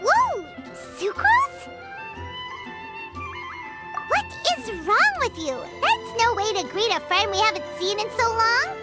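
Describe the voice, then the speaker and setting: A woman's voice: extremely high pitch, big pitch swings, slow, pauses often, exaggerated voice. A woman voicing a tiny, bubbly, dramatic childlike fairy companion in a video game, exclamatory and pouty. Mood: excited, curious. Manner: refers to self in third person, exclamatory, pouty. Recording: loud music behind the voice. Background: playful childlike game music.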